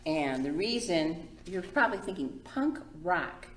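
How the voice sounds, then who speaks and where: A woman's voice: on the low side, big pitch swings, a little slow, a little hiss or hum. A woman hosting a children's storytime as a librarian, presenting and reading aloud in simple, demonstrative phrases for small children. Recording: echoey room.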